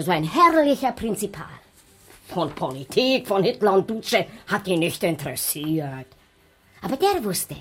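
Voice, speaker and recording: Gossipy circus woman, swooping intonation. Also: medium pitch. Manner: gossipy, broken German. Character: gossipy middle-aged former circus snake dancer, theatrical and nosy. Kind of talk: radio play acting.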